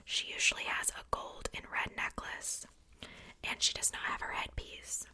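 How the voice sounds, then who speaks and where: Young woman whispering ASMR, very bright hiss. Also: normal speed. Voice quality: breathy, unvoiced whisper. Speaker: ASMR video creator. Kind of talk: whispered ASMR, casual show-and-tell.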